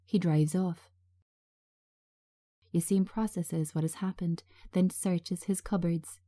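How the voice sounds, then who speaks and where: A woman's voice: lowish voice, steady tone, slow, mellow. A woman narrating a drama script, reading out stage directions.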